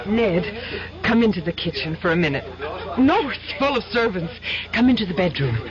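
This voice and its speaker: An actress playing an adoring, eager fiancée in a 1970s radio drama, gushing and coaxing. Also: female voice, mid-range voice, animated, moderate pace, crowd noise, lightly acted. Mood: eager, scolding. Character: adoring, eager fiancée of a lawyer, boss's daughter. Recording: dull, noisy 1970s radio broadcast.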